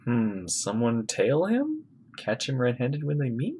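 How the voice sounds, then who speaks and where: A woman's voice: extremely low pitch, wildly swooping pitch, a little slow, dark tone, slight background noise. A woman giving casual, off-the-cuff reactions while playing a game. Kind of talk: casual reaction commentary during gameplay.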